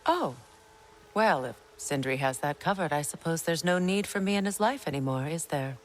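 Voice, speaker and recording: A low-voiced, sorrowful regal goddess. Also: female voice, expressive, faint music behind, poor audio. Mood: wistful, sincere. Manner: measured, earnest, wistful. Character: sorrowful, regal middle-aged goddess and mother. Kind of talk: cinematic video game acting.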